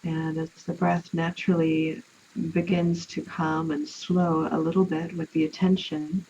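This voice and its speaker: A woman leading a guided relaxation meditation in an unhurried, soothing way, leaving long pauses. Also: sits low, flat delivery, mellow. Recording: muffled, with clear background noise.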